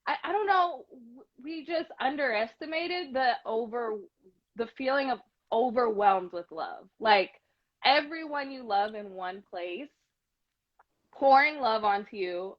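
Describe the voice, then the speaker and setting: A woman guest talks in an upbeat, playful way, teasing lightly. Her voice sits a touch high. The sound is slightly dull, as over a video call. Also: expressive, a little slow. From Sacramento, California. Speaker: guest, sports TV host.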